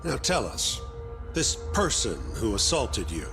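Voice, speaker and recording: Casting: insistent courtroom defense lawyer, formal and deliberate, very varied pitch, game drama with music behind. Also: male voice, sing-song, gravelly. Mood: formal, pressing. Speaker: defense attorney character. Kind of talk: English video game dub acting.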